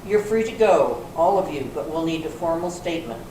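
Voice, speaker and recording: A woman reading the part of a brisk, authoritative police sergeant in an amateur readers theater, matter-of-fact in manner. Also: low-pitched, lively intonation, warm tone. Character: brisk female police sergeant closing a case. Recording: echoey room from far off, clear noise.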